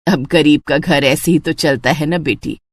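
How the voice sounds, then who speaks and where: A woman's voice: low-pitched, moderate pace, slight background noise, pitched below the performer's own voice. A woman voicing a thrifty older mother-in-law in a moral story cartoon, watchful and fond of nagging household advice. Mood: instructive.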